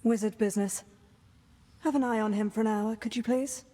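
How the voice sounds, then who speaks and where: A woman's voice: fairly high pitch, fairly even intonation, brisk, mellow, lightly acted. A woman voicing a stern elf woman who guards a captive, firm and commanding.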